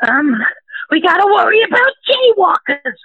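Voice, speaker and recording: Brief: shrill, bright, shouty wannabe superhero, boastful and trigger-happy, close dry sound. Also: very animated, moderate pace, dry recording, dull audio, exaggerated voice. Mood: aggressive. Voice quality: high, shouting, whiny near-crying delivery. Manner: boastful, aggressive, rambling. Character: trigger-happy self-styled vigilante superhero caller. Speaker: caller.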